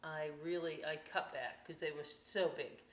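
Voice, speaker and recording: Slow-talking woman in an echoey room. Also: deep voice, steady tone. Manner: folksy, playful, chatty asides to young viewers. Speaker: library story time host. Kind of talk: children's read-aloud and craft demonstration. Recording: echoey room, some background noise.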